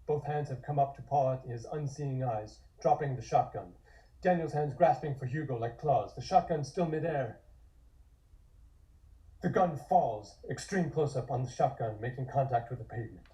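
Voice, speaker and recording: A man's voice: mid-range voice, moderate pace, warm tone, highs cut off. A man reading scripted horror stage directions as the narrator of a table read.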